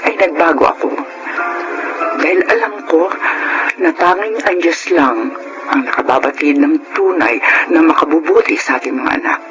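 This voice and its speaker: A woman's voice: deep voice, moderate pace, long pauses, natural voice, low-quality recording. A middle-aged Filipino actress playing an anguished, remorseful mother in an emotional, theatrical radio drama style. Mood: reflective. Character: anguished, remorseful mother confessing her fault. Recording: music bed under radio drama voice.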